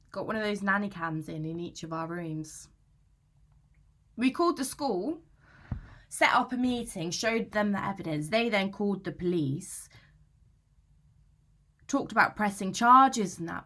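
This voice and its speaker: A woman's voice: medium pitch, fairly bright tone. A woman playing an anxious, aggrieved young British student in a conversational, confessional dramatic monologue, chatty, slangy and self-justifying. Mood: defensive. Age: young adult. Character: anxious young female student, aggrieved and defensive about housemate.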